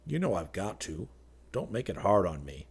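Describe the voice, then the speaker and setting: Man as a deep-voiced, nonchalant young sweetheart. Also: very animated, normal speed, husky, heavily acted. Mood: gaily reassuring. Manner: light, nonchalant reassurance. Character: cheerful, reassuring young man, affectedly unconcerned sweetheart. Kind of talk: audiobook character dialogue.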